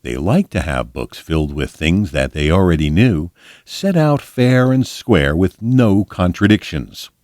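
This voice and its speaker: Deep-voiced man reading expressively aloud. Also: animated, a little slow, a little mellow, dry recording, studio quality. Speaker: volunteer storybook reader. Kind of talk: scripted audiobook narration of a fantasy book.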